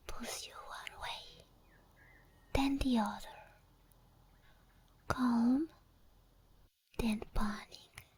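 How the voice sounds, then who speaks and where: A woman playing an obsessed, teasing and menacing sleep paralysis demon in a whispered ASMR roleplay, speaking deliberately and intimately with drawn-out pauses. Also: fairly high pitch, fairly even intonation, a little mellow. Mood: gloating, teasing.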